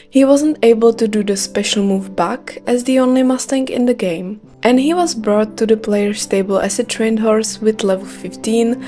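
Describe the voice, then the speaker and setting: A woman's voice: medium pitch, warm tone. A young Czech woman with a light Czech accent, reading a scripted gaming video with care. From Czech Republic. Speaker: gaming YouTuber.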